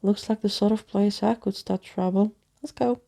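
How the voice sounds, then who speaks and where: A woman acts out a mischievous storybook girl with a short temper. She is bossy and sneering, snapping insults in a creaky, slightly husky voice. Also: mid-range voice, steady tone, normal speed, dark tone.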